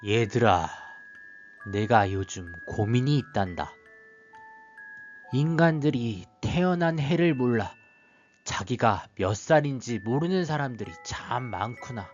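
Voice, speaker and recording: A man voicing a solemn, fatherly old emperor of heaven in a bedtime storybook, with soft music behind. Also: mid-range voice, expressive, unhurried, lo-fi sound, close to an everyday voice. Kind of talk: storybook character voice.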